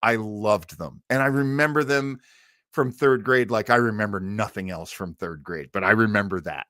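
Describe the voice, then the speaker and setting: A man's voice: fairly low pitch, moderate pace, bright, forceful tone, no echo, studio quality. A man in his fifties hosting a livestream with casual, enthusiastic, rambling commentary. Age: 50s. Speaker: livestream host.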